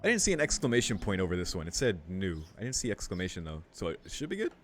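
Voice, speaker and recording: A man's voice: low-pitched, huge pitch swings, fast talker, a little hiss or hum. A man hosting a game playthrough, talking in a slangy, jokey way and reading the game's text aloud. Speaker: gaming video host. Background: game music.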